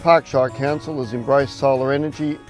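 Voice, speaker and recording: A man's voice: medium pitch, steady tone, moderate pace, soft background music. An Australian man in his sixties, a farmer and mayor, talking in an outdoor documentary interview. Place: open farm paddock, outdoors.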